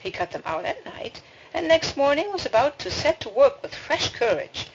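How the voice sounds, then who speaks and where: A woman reading a fairy tale aloud as a storybook narrator, with expressive delivery. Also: high voice, moderate pace. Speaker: storybook reader. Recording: dull, noisy home recording with clicks.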